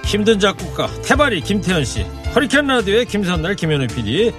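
A man's voice: high voice, big pitch swings, fast. A man in his sixties hosting a radio show, playful and teasing in his banter. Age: 60s. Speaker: radio host. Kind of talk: lively radio chat. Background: music bed.

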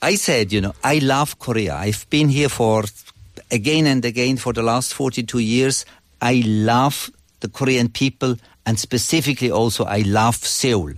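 Older Swiss man, halting English. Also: mid-range voice, no room sound. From Switzerland. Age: 60s. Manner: halting, non-native English phrasing. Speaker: ambassador, interview guest. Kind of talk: conversational interview talk.

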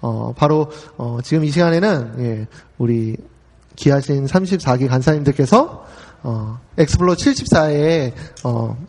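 A man in his forties hosting a relaxed talk show, chatty and full of easy fillers. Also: mid-range voice, measured pace, breathy edge, in a large hall. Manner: chatty, frequent filler "ye", laughs easily. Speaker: host. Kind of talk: casual podcast talk.

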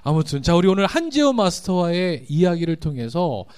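A man's voice: fairly high pitch, big pitch swings, a little fast, darkish tone, smooth. A man hosting a live talk show, steering it with casual banter. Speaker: main host of a talk show.

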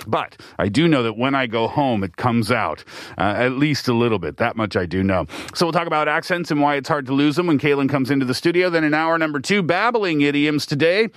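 A man's voice: medium pitch, lively intonation, brisk, no echo. A man from the East Coast of Canada hosting a radio show from a studio, explaining steadily with the odd filler. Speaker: radio host. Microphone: studio mic.

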